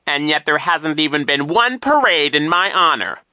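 A man voicing a smarmy, boastful villain posing as a gym coach, with a wheezy, drawn-out delivery in an audiobook story. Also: fairly high pitch, lively intonation, pushed and bright, dry recording, studio quality, voice pushed up. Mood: fawning, boastful.

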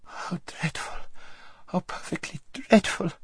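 A man voicing a frightened, spoilt young noblewoman in an audiobook, with a squeaky, breathy whine. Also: lively intonation, normal speed, a little mellow, dry recording, full character voice. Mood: whimpering fright. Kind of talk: audiobook character acting.

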